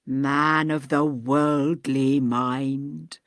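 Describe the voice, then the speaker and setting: A woman voicing a doomed, chained male ghost who speaks slowly and gravely in a mournful lament. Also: level tone, no room sound, clean studio sound, full character voice. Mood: solemn. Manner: slow, grave, booming lament. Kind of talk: storybook reading.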